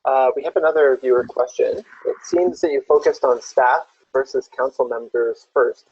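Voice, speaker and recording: Husky-voiced man moderating a webinar. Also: medium pitch, fairly even intonation, normal speed, no echo. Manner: brief and polite. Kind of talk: reading viewer questions. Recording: dull lo-fi webinar audio.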